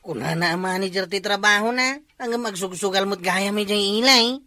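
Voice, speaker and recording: A woman's voice: mid-range voice, moderate pace, sharp tone, close to an everyday voice. A woman with an Ilocano accent in a comedy sketch, asking alarmed questions.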